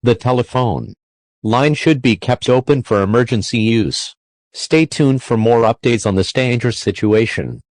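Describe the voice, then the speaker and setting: A man's voice: mid-range voice, lively intonation, moderate pace, darkish tone, no echo. A man's synthetic-sounding voice reading scripted emergency alert messages as a formal announcer.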